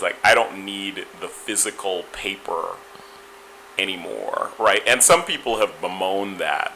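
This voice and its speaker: A middle-aged man from Guyana with a Caribbean accent, answering interview questions in a reflective, measured way, with frequent restarts. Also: low-pitched, sharp tone, slight background noise, poor audio. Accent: Caribbean, Guyanese and Trinidadian. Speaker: interview guest.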